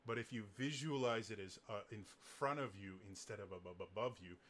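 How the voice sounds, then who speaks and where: A man with a low, deep voice talks casually through singing advice, often catching and repeating the first sound of a word. A faint hum of room ambience sits under his voice. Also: brisk, a bit bright. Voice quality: naturally deep voice. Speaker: singing coach. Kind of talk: casual advice talk to camera.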